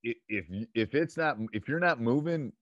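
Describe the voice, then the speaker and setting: A man's voice: mid-range voice, moderate pace, fairly bright tone, no echo, highs cut off. A middle-aged American man hosting a casual interview, talking bluntly and conversationally into a desk microphone. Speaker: host and basketball coach. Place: home room with bookshelves. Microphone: desk microphone close up, headset on.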